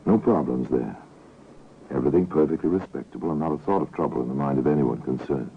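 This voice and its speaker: An actor playing a knowing, ominous narrator in an old-time radio drama, with a measured, insinuating delivery. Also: male voice, rumbling low, slight background noise. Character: knowing, ominous male storyteller of a mystery tale. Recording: muffled narrow-band vintage radio recording.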